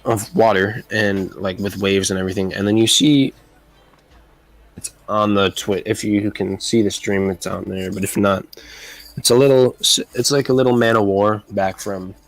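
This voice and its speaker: A man narrating a tabletop game as game master, rambling and halting. His voice is low and dry, recorded close. Soft music plays underneath. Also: fairly even intonation, gravelly, no room sound, low-quality recording.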